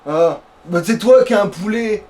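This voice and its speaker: High-voiced Frenchman chatting over a game. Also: normal speed, a little mellow. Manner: slangy, swearing. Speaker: let's play gamer. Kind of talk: casual gaming commentary with a friend. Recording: faint background game noise.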